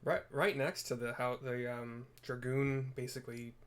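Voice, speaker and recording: A man's voice: medium pitch, steady tone, normal speed, clean tone. Man musing through casual game commentary. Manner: musing, thinking aloud. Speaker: game streamer narrating a playthrough.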